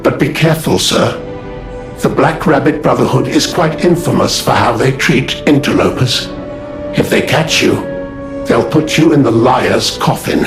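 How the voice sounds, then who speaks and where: An actor playing a courteous, fastidious puppet butler in a video game, formal, prim and deferential. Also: male voice, mid-range voice, over music, natural voice. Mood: concerned, cautioning. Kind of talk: scripted video game dialogue. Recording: roomy echo.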